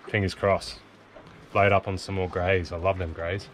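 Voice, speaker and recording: Young aussie bloke, low nasal voice, stuffy nose, easygoing chat, music playing under him. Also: male voice, little pitch movement, moderate pace. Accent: Australian. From Australia. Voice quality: nasal, blocked up. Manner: laid-back, chatty, easygoing. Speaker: vlog host. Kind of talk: casual vlog talk and voice-over narration. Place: open boat on the sea, outdoors.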